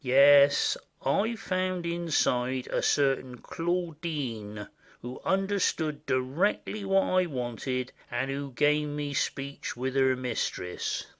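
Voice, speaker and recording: A man playing a simple, indiscreet rustic messenger in a classic stage comedy, chatty and confiding in a secretive, halting way. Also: on the high side, vocal fry, a bit bright, smooth, full character voice. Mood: chatty, pleased.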